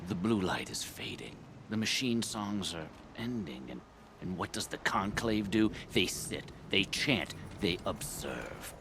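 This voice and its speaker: Grave male warrior-mystic for a game cutscene: very low, unhurried, intense swings, deliberate delivery. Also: expressive, background noise. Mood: solemn, fervent. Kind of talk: video game cutscene acting.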